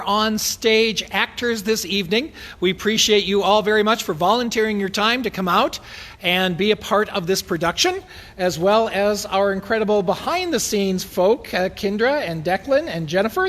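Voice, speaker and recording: A man's voice: high-pitched, a bit bright. A man speaking as an event host, giving polite, formal and somewhat hesitant thanks to an audience. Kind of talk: live thank-you announcement to audience. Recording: reverberant hall.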